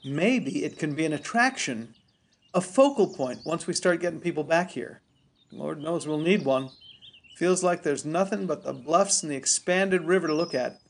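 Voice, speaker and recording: A folksy, playful farm husband. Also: male voice, a little high, expressive, normal speed, a little hiss or hum. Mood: hopeful, persuading. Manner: folksy, coaxing. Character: folksy, playful, whiskey-nipping farm husband, coaxing his wife. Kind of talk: radio play acting.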